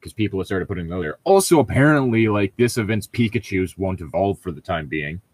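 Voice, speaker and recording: A man's voice: deep voice, expressive, fast, no echo. A man co-hosting a podcast in casual banter, with a sardonic, sweary way of talking. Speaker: podcast co-host.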